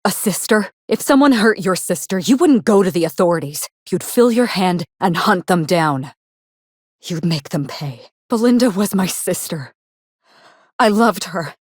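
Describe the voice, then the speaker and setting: A woman playing a vengeful, theatrical actress turned assassin in a video game, speaking in a dramatic, deliberate and menacing way. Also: on the low side, lively intonation, no room sound, studio quality. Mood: cold, vengeful menace. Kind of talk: scripted game dialogue.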